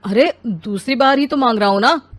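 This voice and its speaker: Woman playing grumpy lazy dad, self-important, complaining, demanding, higher pitch, story dialogue. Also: normal speed, natural voice. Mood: grumbling.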